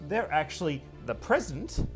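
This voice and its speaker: Male co-host, lightly high-pitched, casual explainer, faint music underneath. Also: big pitch swings, moderate pace. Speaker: co-host of a video game show.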